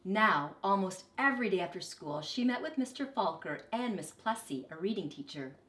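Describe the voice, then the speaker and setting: Woman, mid-pitched, children's story narration, dramatic deliberate emphasis, noticeably echoing room. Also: lively intonation, a bit bright. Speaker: storybook reader, teacher. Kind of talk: expressive read-aloud for children.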